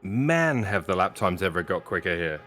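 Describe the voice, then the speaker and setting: A man commentating a live sim race with relaxed, self-deprecating banter. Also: sits low, moderate pace, soft background music.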